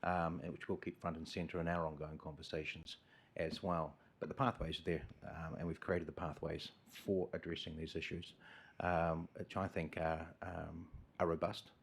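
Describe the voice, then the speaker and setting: A man chairing a council committee meeting, measured and thanking each speaker. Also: very deep voice, flat delivery, creaky, husky.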